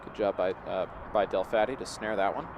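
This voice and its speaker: A man's voice: fairly low pitch, brisk, lo-fi sound. A man giving live play-by-play baseball commentary as the game unfolds. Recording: clear outdoor background noise.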